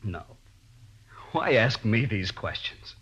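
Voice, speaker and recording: A middle-aged father with a low, husky voice, bewildered and fiercely protective of his child. He speaks plainly and defensively in 1950s radio drama style, heard through a muffled old recording. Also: very animated, takes it slowly, fairly bright tone, exaggerated voice. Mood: grieving, confused.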